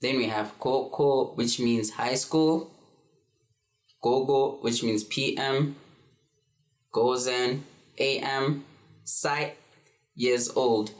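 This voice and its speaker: A man's voice: medium pitch, steady tone, drawn-out words, long pauses. Young man teaching in an echoey room. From South Africa. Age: 20s. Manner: easygoing, with frequent ums and fillers. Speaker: language tutor. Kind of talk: casual beginner language lesson. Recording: roomy echo.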